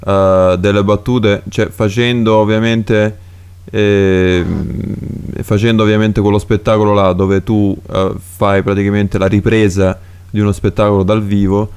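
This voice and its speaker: Deep smooth-voiced male podcast host. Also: flat delivery, measured pace, a little mellow. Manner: casual, chatty, asks questions. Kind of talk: casual podcast chat.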